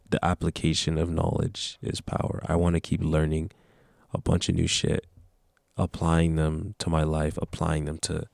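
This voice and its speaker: Young man, very low voice, flat delivery, earnest and rambling, dry close sound. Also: gravelly, dry recording. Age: young adult. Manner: earnest, motivational, rambling with restarts. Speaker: vlogger. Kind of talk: casual talk to camera.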